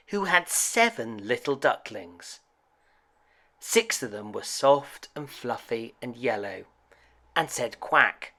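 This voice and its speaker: A man narrates a children's picture story in an expressive storyteller's manner. He takes his time, and his pitch moves around in a lively way from phrase to phrase. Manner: expressive, deliberate read-aloud delivery. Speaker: storyteller reading a picture book aloud.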